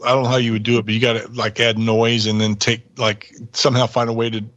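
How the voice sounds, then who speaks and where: A man's voice: mid-range voice, flat delivery, quick pace, fairly bright tone, no echo, muffled recording. A man chatting casually as a voice actor guest on a podcast. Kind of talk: casual chat.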